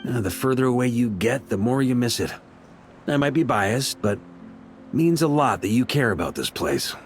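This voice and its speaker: An actor playing a relaxed, friendly knight commander who talks in a reflective way. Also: male voice, mid-range voice, normal speed, natural voice. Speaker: knight back from a long expedition. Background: soft game music.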